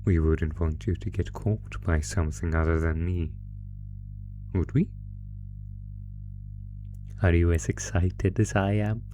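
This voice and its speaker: A man voicing a sinister, sadistic monster from under the bed in a horror roleplay, taunting his prey in a deliberate, mock-genteel, theatrical way. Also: low voice, fairly even intonation. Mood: eager, predatory. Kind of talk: horror roleplay audio, slow theatrical menace. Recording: music under the voice. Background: music.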